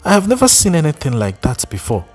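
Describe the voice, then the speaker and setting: A man reading voices a village woman in a spoken story who agrees in a hurry. Her pitch is raised high above the reader's usual voice. Faint music sits behind. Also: wildly swooping pitch, fast talker, darkish tone, slightly rough, exaggerated voice. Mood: agreeing.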